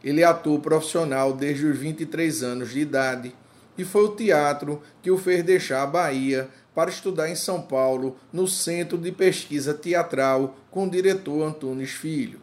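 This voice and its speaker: Measured male interview host. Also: on the high side. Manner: formal, measured, complimentary. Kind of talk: interview hosting.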